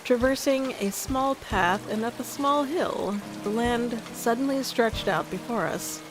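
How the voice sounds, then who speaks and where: A woman streamer narrating a visual novel live, with expressive storytelling. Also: a little high, a little slow. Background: soft game music.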